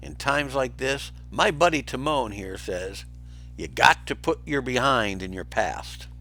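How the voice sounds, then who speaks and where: A man's voice: mid-range voice, a little slow, sharp tone, soft background music, pitched above the performer's own voice. A man voicing a big-hearted, simple, friendly warthog with a gleeful, naive manner for a storybook.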